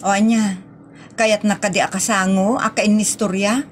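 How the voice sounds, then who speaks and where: A woman's voice: medium pitch, fairly even intonation, normal speed, slight background noise, natural voice. A woman with an Ilocano Filipino accent acting a bossy, exasperated wife in a comedy audio drama, scolding and questioning.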